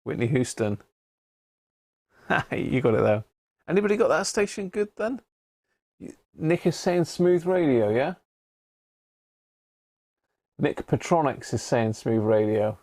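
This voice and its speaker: A British man with a gravelly, creaky edge to his voice hosts a relaxed livestream. He chats informally with viewers and thinks out loud, often ending on little tag questions. The sound is close and dry. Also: mid-range voice, slow, pauses often, no echo. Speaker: livestream host. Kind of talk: casual live chat, talking to viewers.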